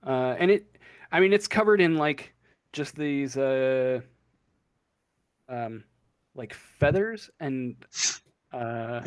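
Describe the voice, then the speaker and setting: A man narrating an improvised tabletop game as game master, haltingly searching for his words. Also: medium pitch, animated, clean tone, dry recording.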